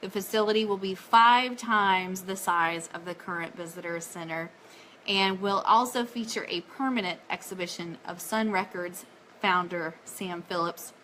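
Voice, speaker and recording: A woman's voice: on the low side, normal speed, sharp tone, slight background noise, poor audio. A woman hosting a fan radio show live, chatty and enthusiastic, moving between casual talk and reading out news items.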